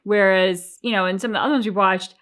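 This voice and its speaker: A woman's voice: medium pitch, fairly even intonation, fast talker, a bit bright, smooth. A woman with a mid-range voice talks casually as a reaction show co-host. She is reflective and articulate in how she lays out her thoughts. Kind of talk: casual discussion.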